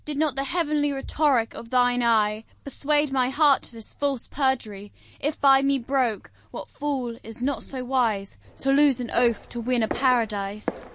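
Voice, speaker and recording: A woman's voice: high voice, a little slow, few pauses, a bit bright. A woman playing a lovesick young nobleman in a Shakespeare performance, declaiming verse. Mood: yearning. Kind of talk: Shakespeare stage acting.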